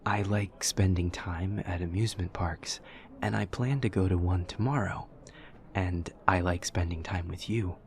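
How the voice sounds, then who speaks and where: A man's voice: deep voice, darkish tone. A man playing an awkward, earnest young demon student in an audio roleplay, speaking in a formal, literal, over-explaining way. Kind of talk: audio roleplay acting, addressing the listener.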